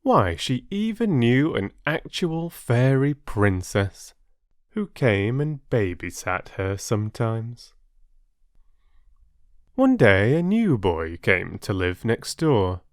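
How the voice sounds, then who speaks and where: A male storyteller reads a children's tale at an unhurried pace. His pitch moves around a great deal, and he leaves dramatic pauses. The sound is dry and close. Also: very animated, no room sound. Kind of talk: expressive children's story narration.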